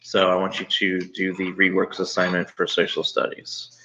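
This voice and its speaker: A man's voice: low-pitched, completely flat delivery, normal speed, gravelly, background noise. A man teaching third graders online, giving informal, conversational instructions to his pupils. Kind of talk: informal online read-aloud and assignment talk to pupils. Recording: dull lo-fi sound.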